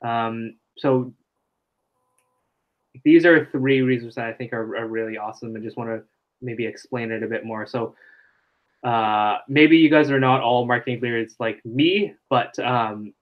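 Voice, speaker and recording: A man's voice: medium pitch, measured pace, phone-quality sound. A man presenting a marketing webinar over a video call, explaining loosely with lots of fillers. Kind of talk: casual live webinar teaching.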